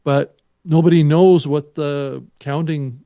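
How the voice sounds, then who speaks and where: A man speaking as a podcast co-host in a measured, analytical, conversational way. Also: a little high, fairly even intonation, mellow, dry recording, clean studio sound. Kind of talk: casual industry discussion.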